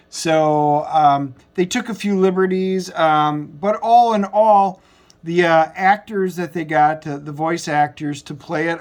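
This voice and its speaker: Casual, higher-pitched male reviewer. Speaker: video host and reviewer.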